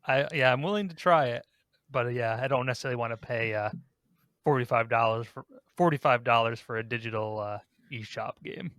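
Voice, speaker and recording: A man's voice: medium pitch, fairly quick, fairly bright tone, no echo. A man hosting a casual panel discussion, talking in an informal, conversational manner. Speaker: host of a ranking podcast.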